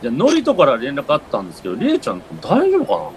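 A man acting a fussy, exasperated straight-man friend with quick retorts in a comedic radio drama. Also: on the high side, wildly swooping pitch, a little hiss or hum.

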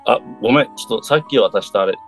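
Man acting a fumbling, low-key older brother. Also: very fast, faint music behind, close to an everyday voice. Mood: flustered. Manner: hesitant, fumbling improvisation. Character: awkward older brother running the family business. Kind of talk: improvised radio drama.

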